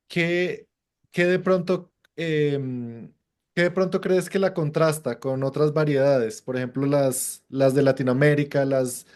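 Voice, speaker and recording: A man asking interview questions in a halting way, with many fillers and restarts. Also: a little high, darkish tone.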